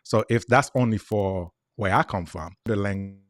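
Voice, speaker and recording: A man's voice: on the low side, unhurried, no room sound, studio quality. A man in his forties from Haiti with a thick Haitian Creole accent, explaining earnestly as a guest in a casual chat and repeating words as he goes.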